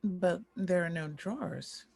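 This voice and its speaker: A woman's voice: fairly low pitch, takes it slowly, creaky, a little mellow, breathy edge, exaggerated voice. A woman acting a proud, wry aging former child star in a screenplay table read, with a dry theatrical manner. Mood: dry, skeptical.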